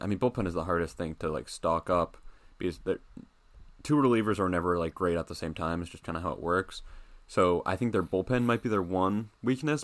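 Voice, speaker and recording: A young man co-hosting a casual sports podcast, easygoing and self-deprecating. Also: deep voice. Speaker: podcast co-host.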